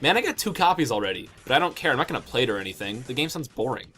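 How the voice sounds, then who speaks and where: Casting: a man as a cool, dry, sarcastic teenage guy, slangy banter, very varied pitch, light music underneath. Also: very animated, racing, sharp tone. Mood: nonchalant. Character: cool, sarcastic, foul-mouthed teenage boy. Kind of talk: amateur comic fan dub dialogue.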